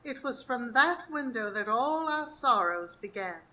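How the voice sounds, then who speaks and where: Grieving elderly matriarch, played by a woman, gentle and wistful, high and bright, live radio drama. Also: lively intonation, unhurried. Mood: wistful, sorrowful. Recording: live stage recording, faint ambient noise.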